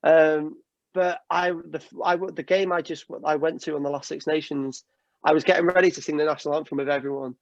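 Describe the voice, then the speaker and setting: A man's voice: on the high side, fairly even intonation, fairly quick, no echo, muffled recording. A man from Wales, chatting casually on a podcast as a guest, laughing often and swearing now and then. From North Wales. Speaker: guest singer-songwriter.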